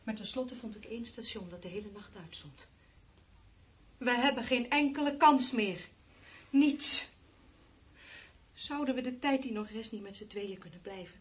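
Actress as a stubborn, resigned higher-voiced woman. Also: expressive, normal speed, long pauses, natural voice. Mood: resigned, pleading. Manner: defiant, matter-of-fact. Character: stubborn, resigned woman wanting to stay and await the end. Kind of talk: Dutch radio play acting. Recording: faintly noisy radio drama recording.

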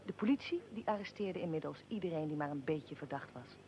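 An actress playing a precise, probing investigator in a radio drama, explaining events in a matter-of-fact way. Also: female voice, low-pitched, animated, brisk, dark tone, slight background noise. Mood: factual recounting. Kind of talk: radio drama, interview with narrated account.

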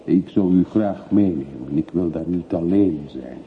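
A man's voice: low voice, measured pace. An actor playing a brooding middle-aged man back from long exile in a radio play, terse, evasive and reflective. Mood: quietly insistent. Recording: muffled phone-like old radio recording, faint hiss.

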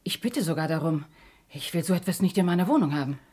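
Very husky, emphatic, indignant mother. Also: fairly low pitch, lively intonation, quick pace, dark tone. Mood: indignant, defensive. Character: indignant, strong-willed mother of a son. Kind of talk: German radio crime drama acting.

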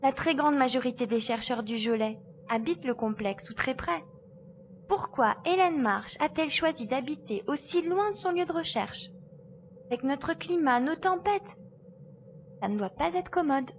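A woman playing a determined, inquisitive investigator in a science fiction audio drama, with deliberate, assured, articulate delivery. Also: sits high, animated, a little hiss or hum. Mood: intrigued.

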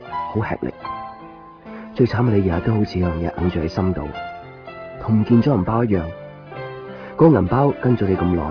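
A man's voice: deep voice, lightly acted. An actor playing a lovesick, obsessive young man in a Cantonese radio drama with a Hong Kong accent, plaintive and insistent. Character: lovesick young copy-shop man obsessively searching for missing girlfriend. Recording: muffled 1990s radio drama recording. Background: music.

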